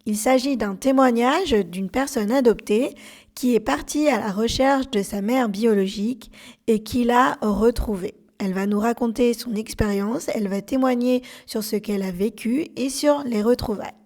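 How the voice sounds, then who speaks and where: A woman's voice: on the high side, darkish tone. Woman reading a podcast introduction. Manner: measured, read-out welcome. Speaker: podcast host.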